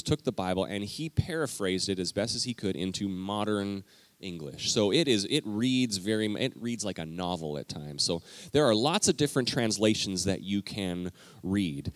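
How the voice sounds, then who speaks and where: A man with a low voice gives a teaching sermon in a church, speaking straight to the people in the pews. His delivery is lively and emphatic, and the sound is close and dry. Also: normal speed, dry recording. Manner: lively, emphatic, talks directly to the congregation. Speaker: pastor. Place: church sanctuary.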